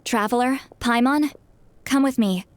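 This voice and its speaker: A woman's voice: on the high side, a little slow, lightly acted. An actress voicing a proud, prickly young woman shaman in a video game, guarded and a little haughty, with tenderness underneath. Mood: reluctant, tender. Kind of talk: English video game voice acting. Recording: music underneath.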